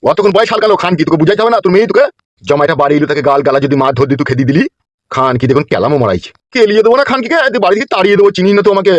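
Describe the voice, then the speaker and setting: Man acting a high-voiced, blustering father-in-law. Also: big pitch swings, rapid-fire, no room sound. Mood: angry. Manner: blustering, scolding. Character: hot-tempered, foul-mouthed village father-in-law. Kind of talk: comedy cartoon dialogue.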